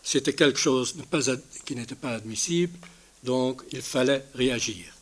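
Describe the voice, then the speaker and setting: A man, a native Dutch speaker with a Dutch accent, giving a conference presentation in a halting way, searching for his words. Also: mid-range voice, fairly bright tone. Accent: Dutch-speaking, non-native French. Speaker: conference speaker from a healthcare organisation.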